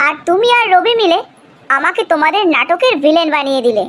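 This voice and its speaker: A man's voice: very high voice, animated, fast talker, a bit bright. A man voicing an indignant, dramatic young woman in a cartoon love drama, theatrical and plaintive. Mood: sarcastic, accusing. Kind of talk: dramatic animated story dialogue.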